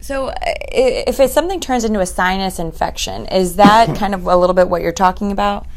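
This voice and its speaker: Chatty, slightly hoarse female interviewer. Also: medium pitch, fairly quick, slight background noise. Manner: friendly, chatty questioning. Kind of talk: interview questions.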